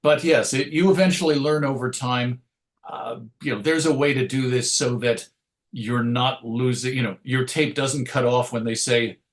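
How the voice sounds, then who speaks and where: A man's voice: medium pitch, moderate pace. A middle-aged American man telling stories as an interview guest, discursive, self-deprecating and enthusiastic about his subject. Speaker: interview guest, radio show host. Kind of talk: reflective conversational interview storytelling.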